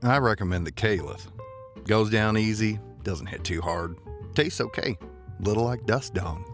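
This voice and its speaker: A man plays an earnest rancher on vacation in an audio drama romance. His voice is on the low side, courteous and hesitant, with faint music behind. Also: measured pace. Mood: easygoing. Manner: hesitant, earnest, laid-back.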